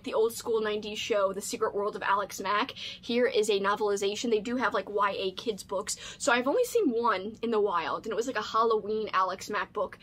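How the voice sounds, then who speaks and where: A woman in her thirties chatting casually and gushing with excitement, as a video host in a home room. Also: medium pitch. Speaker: book channel host. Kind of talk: casual chat, unboxing vlog. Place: small home room lined with books.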